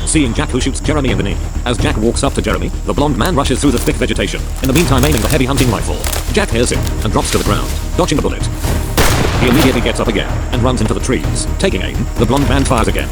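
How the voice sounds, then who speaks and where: A male narrator reads a scripted action story at a brisk pace. His voice sits at a middling pitch, and music plays clearly behind it.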